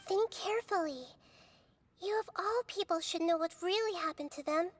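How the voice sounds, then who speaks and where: A woman voicing a gentle young goddess who speaks in a soft, measured, thoughtful way. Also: very high voice. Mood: earnest. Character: gentle young female god, calm and wise.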